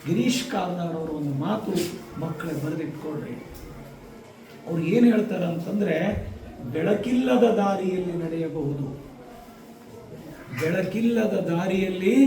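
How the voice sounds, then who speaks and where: Echoey, distant motivational speech by a man. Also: a little high. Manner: emphatic, rhetorical repetition with deliberate pauses. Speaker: guest speaker addressing schoolchildren. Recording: echoey, distant microphone, faint noise.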